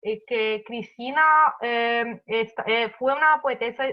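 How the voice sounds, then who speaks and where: A woman with an Italian accent, a participant in an online class, speaking with a halting manner, searching for words. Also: a little high, lo-fi sound. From Italy.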